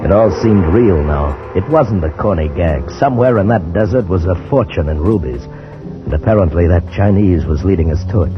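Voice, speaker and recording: Strong music sits under a dull old radio recording of an earnest adventurer. His voice is very low. He tells his tale in dramatic, scripted first-person narration. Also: lively intonation, fairly quick. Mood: grim, dramatic.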